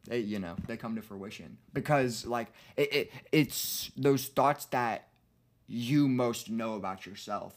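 A man in his early twenties talking casually and reflectively on a podcast, earnest and rambling, with plenty of slang. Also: mid-range voice. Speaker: podcast co-host.

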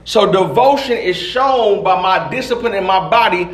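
A man's voice: high-pitched, moderate pace, fairly bright tone. A man preaching a sermon as a pastor, colloquial and emphatic, repeating phrases and catchphrases to drive points home. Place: indoor church before a responding congregation. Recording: roomy live church echo.

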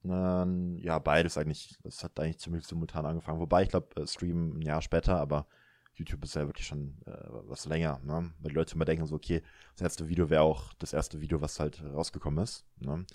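A man's voice: extremely low pitch, flat delivery, a little fast, a little mellow, no echo, studio quality. A man in his thirties hosting a casual chat, laid-back and rambling, often tagging his sentences with little filler questions. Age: 30s. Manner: laid-back, rambling, self-mocking, frequent filler tags. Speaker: podcast host.

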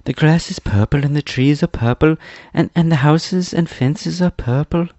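A man voicing an eager, proud young boy adventurer in a storybook, explaining things excitedly. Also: on the high side, moderate pace, dry recording, dull audio, voice pushed up, lightly acted.

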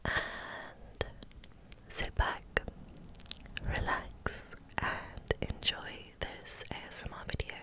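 A woman gently guiding a relaxation as an ASMR host, in a breathy, soothing whisper. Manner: gentle, soothing, unhurried instruction.

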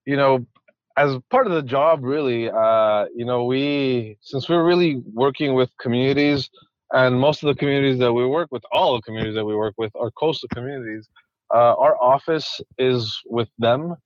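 A man's voice: mid-range voice, normal speed, no room sound, muffled recording. A man from Ecuador, a conservationist guest, talking casually in an interview with many restarts and repeated words.

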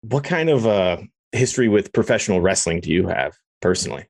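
A man's voice: fairly low pitch, lively intonation, a little fast, vocal fry, a little mellow, dry recording, clean studio sound. A man hosting a podcast in a casual interview style, chatty and enthusiastic. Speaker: podcast host.

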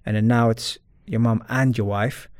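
A man's voice: medium pitch, steady tone, normal speed, darkish tone, no echo, clean studio sound. A man speaking as an interview guest, talking earnestly and reflectively about his personal life.

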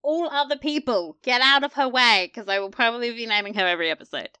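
A woman's voice: a little high, quick pace, pushed and bright, clean tone. A woman co-hosting a casual, chatty recap discussion, gushing and playful in slangy speech. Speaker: podcast co-host.

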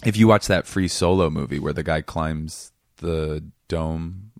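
A man from Seattle, a comedian guest in casual interview chat, talking in a rambling, self-deprecating way with a voice that is getting raspy. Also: rumbling low, measured pace, a little mellow, no echo, studio quality. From Seattle area, USA.

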